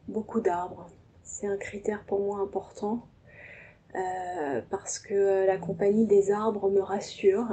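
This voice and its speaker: A woman born in Paris speaks slowly and evenly, with a mellow, subdued tone that barely rises or falls. She is soft-spoken and hesitant, often pausing on fillers as she reflects. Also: medium pitch, low-quality recording. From France. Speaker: tea ceremony host and guest. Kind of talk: reflective personal testimony.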